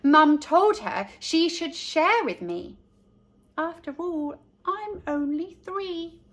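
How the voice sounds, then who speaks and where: A woman narrating a picture book for young children in an expressive, deliberate storytelling manner. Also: very high voice.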